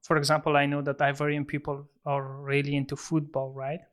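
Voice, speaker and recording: A man's voice: a little high, monotone, brisk, clean tone. A man hosting a podcast interview, politely asking questions and encouraging his guest. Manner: polite and encouraging, asks in long strings of questions. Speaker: podcast host.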